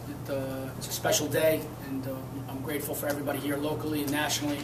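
A man's voice: on the high side, little pitch movement, fast. A middle-aged man, a basketball coach, bluntly answering reporters at a postgame press conference and repeating his points for emphasis. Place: press room behind a table. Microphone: table mics, far camera. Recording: echoey room, distant mic, noisy.

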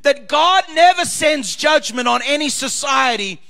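A man preaching as pastor, reading scripture and swinging between loud shouted climaxes and quieter asides. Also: very high voice, animated, a little slow, creaky. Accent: Australian. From Australia.

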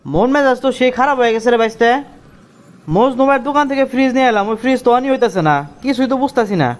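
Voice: mid-range voice, rapid-fire, natural voice. An actor voicing a cheeky, hot-tempered young village man in a comic cartoon, speaking colloquially with a rural Bangladeshi accent. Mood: frustrated. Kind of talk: comic Bengali cartoon dialogue.

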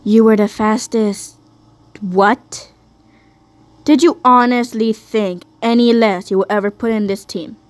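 A woman's voice: on the high side, steady tone, a little slow, no room sound, soft background music, close to an everyday voice. A woman voicing an arrogant, taunting male sled dog in a cartoon fandub, with a smug, mocking drawl. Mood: commanding, scornful. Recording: noisy amateur fandub recording.

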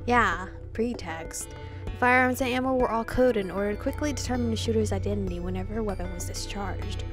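A young adult woman streaming a visual novel, reading the text aloud with casual, slangy reactions. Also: a little high. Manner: informal slangy asides. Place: indoor room, webcam setup. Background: game music.

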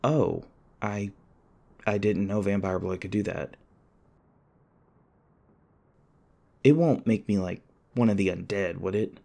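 A man playing a shaken, apologetic young human in an audio roleplay, speaking hesitantly with stammers and halting phrases. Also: fairly low pitch, gravelly, dark tone. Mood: incredulous, wary. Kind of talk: ASMR audio roleplay, naturalistic acting.

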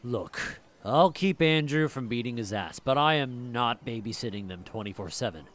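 A man voicing a smirking, crude high school troublemaker in an audiobook, blunt and scoffing. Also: lively intonation, moderate pace, lightly acted. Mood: scornful. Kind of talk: audiobook character acting.

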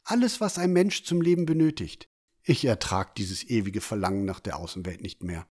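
A man's voice: fairly low pitch, animated, moderate pace, a little mellow, breathy edge. A man playing a stern, exasperated village father with an emphatic, urging delivery in a fantasy audio drama.

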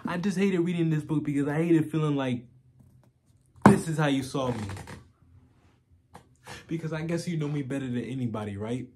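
A man playing a self-loathing, washed-up man in a dramatic monologue, pleading haltingly and rawly for reassurance. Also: a little high, mellow, a little hiss or hum, pitched above the performer's own voice, close to an everyday voice. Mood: anxious pleading. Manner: halting, pleading, emotionally raw.

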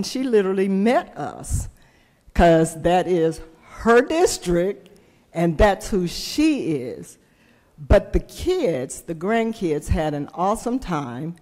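A woman's voice: lowish voice, a little mellow. An older woman, a state senator telling a folksy story in a floor speech at a podium microphone. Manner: folksy, slow storyteller, acts out quoted voices. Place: large legislative chamber. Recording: long hall reverb in chamber.

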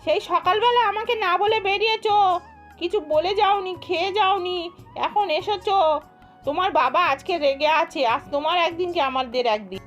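A woman's voice: extremely high pitch, moderate pace, fairly bright tone, muffled recording. A woman voicing a fretful, chiding village farm wife and mother in an amateur cartoon dub. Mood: worried, scolding. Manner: homely, chiding, fussy. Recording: music under the voice.

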